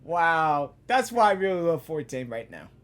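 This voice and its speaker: A woman giving casual, excited reaction commentary on a game, exclaiming and repeating phrases. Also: low voice, moderate pace. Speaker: gaming reaction video host.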